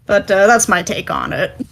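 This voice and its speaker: A woman's voice: medium pitch, creaky, fairly bright tone. A woman giving her take as a podcast panelist, informal and thinking aloud in casual chat.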